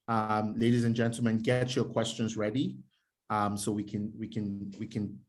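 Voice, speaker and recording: A man hosting a live interview, asking questions and addressing the audience with frequent "um" pauses. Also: on the low side. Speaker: interviewer host.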